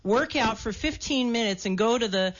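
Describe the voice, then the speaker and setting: A woman's voice: on the high side, expressive, moderate pace, highs cut off, a little hiss or hum. A woman chatting casually and agreeably as a co-host in a radio interview. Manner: agreeable, affirming.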